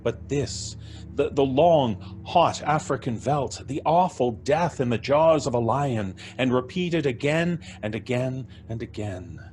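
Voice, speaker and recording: A man narrating a story in a live audio drama, with a measured, brisk storytelling manner. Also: mid-range voice, lively intonation, a little mellow, faint music behind.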